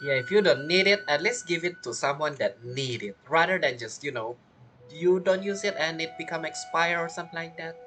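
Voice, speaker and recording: Lively low-voiced woman gamer. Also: fairly quick, over music. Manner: chatty, playful. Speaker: gameplay streamer. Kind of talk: casual game commentary.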